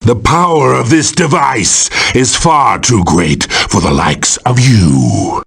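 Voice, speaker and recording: A man's voice: medium pitch, lively intonation, slow, gravelly, a bit bright, slightly rough, heavily acted. A man voicing a boastful, power-mad supervillain for a video game, grandiose, theatrical and menacing in delivery. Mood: taunting. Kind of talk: video game villain voice acting.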